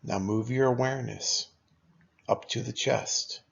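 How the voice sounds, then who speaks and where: A man leading a guided meditation as a teacher, speaking in a calm, unhurried, instructional way with long pauses. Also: medium pitch, vocal fry, husky, lo-fi sound.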